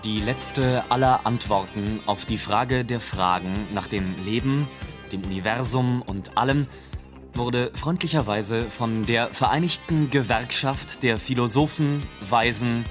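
Male announcer for radio play credits, mid-pitched, scripted delivery, prominent music underneath. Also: unhurried.

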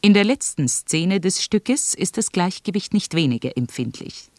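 A woman reading a scripted 1980s radio drama announcement in a formal, literary, deliberate manner. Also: fairly low pitch, lively intonation, a little mellow, dry recording.

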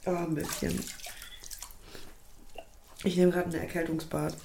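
A young actress playing a troubled, apologetic young woman in a naturalistic audio drama, speaking in a hesitant, halting way. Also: on the low side, level tone, creaky, mellow, a little hiss or hum. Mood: subdued, evasive. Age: young adult. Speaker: lead actress and narrator.

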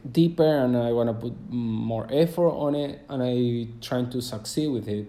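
Mellow young man, rambling. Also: medium pitch. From Argentina. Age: 20s. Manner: hesitant and rambling, full of fillers and restarts. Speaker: podcast host. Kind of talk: casual solo podcast monologue.

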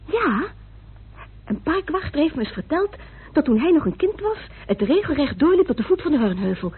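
An actress playing a polite, curious politician's wife in a radio play, with an expressive, questioning delivery. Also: female voice, high voice, normal speed. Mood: surprised, exasperated. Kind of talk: Dutch radio drama acting. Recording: tinny old radio recording, faint noise.

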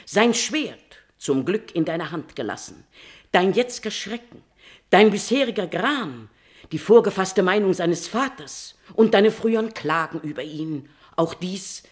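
A woman's voice: medium pitch, lively intonation, unhurried, natural voice. An actress playing a queen's devoted and scheming confidante in a classical tragedy, declaiming verse in an emphatic, persuasive manner. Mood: urgent persuasion. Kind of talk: declamatory classical German stage verse acting.

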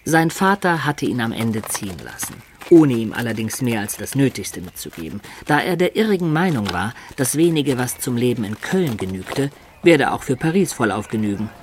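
A man's voice: mid-range voice, very animated, normal speed, slight background noise, natural voice. An actor playing a medieval adventurer who recounts his student youth with wry, ornate storytelling in a literary radio drama. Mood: wry, reminiscing. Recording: studio radio drama with music beds.

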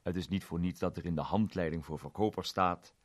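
A man voicing a cheerful, persistent wine salesman who speaks upbeat and brisk in a storybook reading. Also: deep voice.

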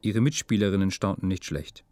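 A man's voice: low voice, fairly quick, mellow, no room sound. A man narrating a literary radio drama from a script in a sober, matter-of-fact way. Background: music.

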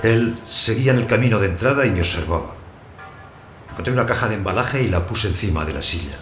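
An actor playing a lonely abandoned householder, narrating his story in a measured, plain way for an audio drama. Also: lowish voice, expressive, a bit bright. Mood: absorbed, quietly elated. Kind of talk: first-person literary narration in audio fiction. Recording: roomy echo, clear background noise.

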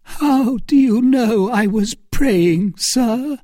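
A narrator voicing a melodramatic woman schemer in an audiobook novel, feigning pious innocence with breathy, imploring pleas. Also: medium pitch, slow, warm tone, no room sound, pitched above the performer's own voice. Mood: sobbing.

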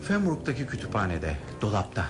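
A man's voice: on the low side, wildly swooping pitch, brisk. A man in a radio drama playing a courteous, worried older male aristocrat, with formal and hesitant speech. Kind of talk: 1970s radio drama acting. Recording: radio drama with music behind.

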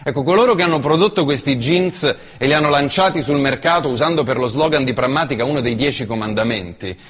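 A male stage actor delivering a theatrical monologue, impassioned and shifting from hushed tones to loud bursts. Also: mid-range voice, fast talker, breathy edge, in a large hall. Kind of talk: theatrical monologue reciting an essay.

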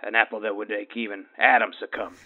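A man's voice: lowish voice, little pitch movement, fast, close to an everyday voice. A man playing a bigoted, blustering middle-aged radio caller who rants and threatens, heard over a dull lo-fi line. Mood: ranting. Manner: ranting, threatening, pompous.

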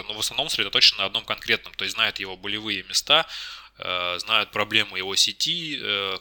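A man with a fairly low and strikingly bright, forceful voice. He speaks as a job candidate in a technical interview, feeling his way through answers and correcting himself. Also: no echo. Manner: hesitant, self-correcting. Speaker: job candidate, network engineer.

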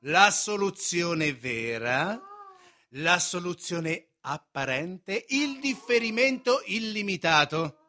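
A worldly, talkative painter of the court in a theatrical radio play. His voice sits high and has a sharp, forceful edge. He speaks with sly self-importance and emphatic, insinuating delivery. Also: animated, takes it slowly, heavily acted. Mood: explanatory, confiding. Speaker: actor. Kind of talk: theatrical radio drama dialogue.

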